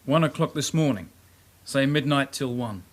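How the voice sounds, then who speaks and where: A male actor plays a junior detective constable in a radio crime drama. He reports in a plain, matter-of-fact way. His voice sits at a middle pitch. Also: moderate pace, natural voice.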